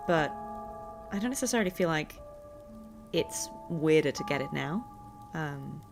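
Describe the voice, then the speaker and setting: A woman's voice: deep voice, expressive, measured pace, vocal fry. A woman game streamer giving casual live commentary, musing and thinking aloud as she plays. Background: game music.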